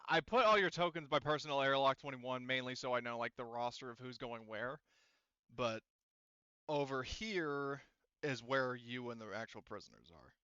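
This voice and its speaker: A man acting as game master, narrating a tabletop roleplaying game casually and haltingly, thinking aloud. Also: medium pitch, bright, forceful tone, clear, resonant tone, no room sound, muffled recording.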